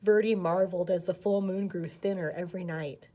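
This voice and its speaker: A woman reading a picture book aloud as a children's storyteller, with animated, dramatic emphasis. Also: fairly low pitch, a little fast.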